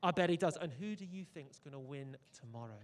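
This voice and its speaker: An upbeat young man rattles off quick, hyped questions to fans at an outdoor fan zone. He talks fast and jokes with the people he meets. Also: on the high side, animated. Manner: upbeat, hyped, jokey with guests. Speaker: roving fan zone presenter. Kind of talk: energetic outdoor broadcast chat.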